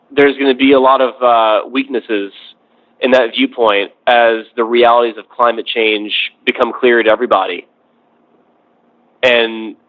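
A man giving conversational political commentary as a discussion guest, measured and reflective, building his points phrase by phrase. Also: medium pitch. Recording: tinny phone-like line.

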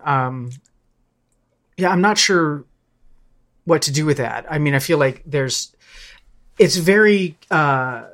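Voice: mid-range voice, fairly bright tone. A podcast participant with a mid-range voice talks casually and reflectively. They think aloud, feeling for the right words and often restarting a phrase to correct it.

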